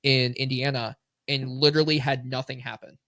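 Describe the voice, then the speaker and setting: A man's voice: medium pitch, little pitch movement, moderate pace, vocal fry, a crowd in the background. A man co-hosting a podcast, chatting casually and joking, often repeating his words as he starts. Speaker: podcast co-host. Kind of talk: casual chat.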